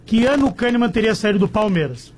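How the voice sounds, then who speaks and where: A man's voice: high-pitched, expressive, fast, a little hiss or hum. A man with a southern Brazilian accent debating football on a radio panel, pressing his points. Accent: southern Brazilian, Rio Grande do Sul. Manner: insistent, repeats "lembra". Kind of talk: casual sports debate.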